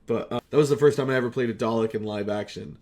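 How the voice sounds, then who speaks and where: A man's voice: mid-range voice, quick pace, darkish tone. A young man chatting casually as a video creator, rambling, self-deprecating and swearing freely. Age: young adult. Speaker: amateur voice actor and video creator. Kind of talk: casual rambling retrospective chat.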